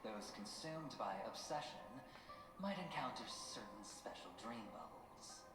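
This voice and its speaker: A man's voice: mid-range voice, animated. Smug theatrical game schemer man, swoopy delivery, echoey with music behind. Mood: earnest explaining. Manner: theatrical, teasing, deliberate storytelling. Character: smooth, showy male gambler-schemer, self-assured and theatrical. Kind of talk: video game voice acting.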